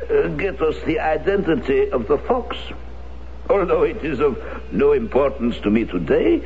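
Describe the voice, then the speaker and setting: An aging former SS colonel in a 1970s radio drama, polite on the surface and cold beneath. He speaks slightly high, with an animated, swooping pitch, and pauses hesitantly. The old broadcast recording sounds muffled with a faint hiss. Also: full character voice. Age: older.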